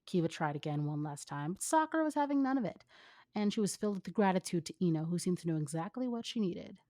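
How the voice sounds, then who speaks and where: Low-pitched woman reading a story. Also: lively intonation, fast talker, warm tone, dry recording, clean studio sound. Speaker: fan fiction reader. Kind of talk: expressive story narration with casual asides.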